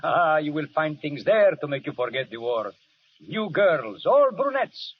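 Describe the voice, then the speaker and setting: An actor playing a jaunty, bullying, worldly Italian army captain with an Italian accent in an old-time radio drama, theatrical and teasing. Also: male voice, on the high side, sing-song, unhurried, slightly rough, full character voice. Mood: teasing, coaxing. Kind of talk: 1930s-40s radio drama acting. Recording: dull, hissy vintage radio broadcast.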